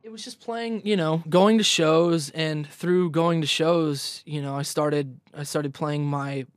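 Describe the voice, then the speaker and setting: A man's voice: fairly high pitch, fairly even intonation, measured pace, clean tone, dry recording, clean studio sound. A young man in casual interview chat as a guest musician, rambling, repeating words and laughing often.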